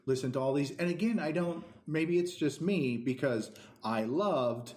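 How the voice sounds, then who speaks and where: A man chatting casually as a podcast co-host, in a hesitant, halting manner. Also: fairly high pitch, a little mellow. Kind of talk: casual chat. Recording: echoey room.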